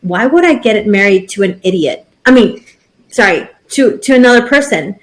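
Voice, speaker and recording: A woman's voice: mid-range voice, expressive, normal speed. A woman in her thirties co-hosting a podcast, talking in a rambling, casual way with many restarts. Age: 30s. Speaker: podcast co-host. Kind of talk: casual chat.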